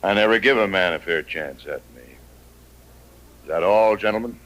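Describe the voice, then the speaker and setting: Male actor as steely, arrogant gunslinger for radio western; very low voice, clipped and menacing lines. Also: normal speed, natural voice, rough recording. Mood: cold, commanding. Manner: terse, coolly menacing. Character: cold, arrogant hired gunman turned western sheriff, 38. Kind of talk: old-time radio western acting.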